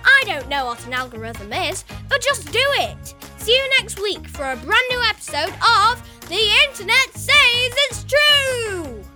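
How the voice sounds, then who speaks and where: A childlike space alien delivers a podcast sign-off. The voice is extremely high and sing-song, swooping up and down, with music clearly playing behind it. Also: big pitch swings, unhurried, few pauses, sharp tone, exaggerated voice. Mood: chirpy, pleading. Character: squeaky space alien reading show credits.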